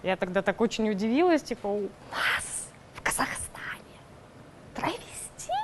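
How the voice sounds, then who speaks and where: A woman's voice: on the high side, a little hiss or hum. A twenty-four-year-old woman from Kazakhstan, a drag performer, telling an anecdote animatedly in a documentary interview.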